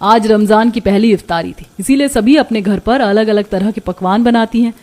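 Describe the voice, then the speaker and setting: A woman's voice: mid-range voice, moderate pace, dark tone. A woman reading scripted narration for a cartoon story.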